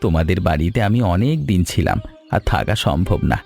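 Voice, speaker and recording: Man performing grieving wanderer, kind and grateful, low voice, humble earnest delivery, animated story, music behind. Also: a little fast, creaky. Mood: sorrowful, grateful. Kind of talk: Bengali animated story dialogue.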